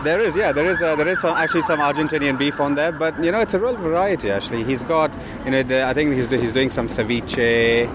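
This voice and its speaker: A man's voice: on the high side, quick pace, few pauses. Chatty man, street traffic behind. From India. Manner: relaxed, chatty, many fillers and restarts. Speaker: food startup co-founder. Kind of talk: casual walking interview. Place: outdoors on a city street. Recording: outdoor street recording with traffic. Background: traffic noise.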